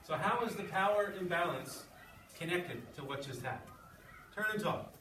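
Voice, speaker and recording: A male schoolteacher with a slightly high voice, talking informally to his class as he reads and discusses. He is some way from the mic in an echoey classroom with clear background noise. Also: expressive, normal speed, far from the mic. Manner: informal, checking with listeners. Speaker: sixth-grade English teacher. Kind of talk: classroom read-aloud and discussion.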